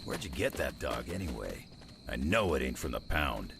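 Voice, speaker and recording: Very deep, gravelly, gruff tough-guy tracker. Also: very animated, moderate pace, noisy background, exaggerated voice. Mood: wary, wry. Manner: folksy, blunt, sardonic. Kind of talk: video game character acting.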